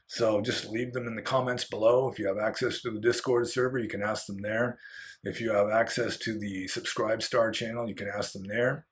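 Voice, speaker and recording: A man with a fairly low voice, slightly hoarse, talks through viewer questions alone. He rambles with restarts and fillers, giving blunt opinions in a loose, conversational way. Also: flat delivery, moderate pace. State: getting hoarse. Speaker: Buddhist monk answering viewer questions. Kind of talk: unscripted solo question-and-answer talk.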